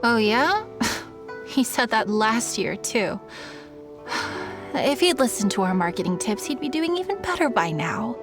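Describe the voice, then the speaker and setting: Breezy, animated businesswoman. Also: on the high side, unhurried, over music. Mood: breezy, teasing. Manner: casual, teasing, helpful. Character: friendly, breezy, helpful businesswoman. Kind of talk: video game character dialogue.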